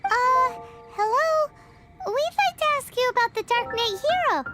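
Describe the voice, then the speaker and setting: A woman voicing a small, cheeky, childlike fairy companion in a video game, squeaky and theatrically exclamatory. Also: expressive, a little slow. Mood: curious. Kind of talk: video game character acting. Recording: music behind the voice.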